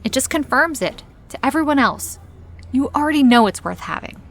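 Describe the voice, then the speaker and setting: Upbeat, higher-pitched best friend. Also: female voice, animated, normal speed. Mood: supportive, upbeat. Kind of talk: audio drama acting.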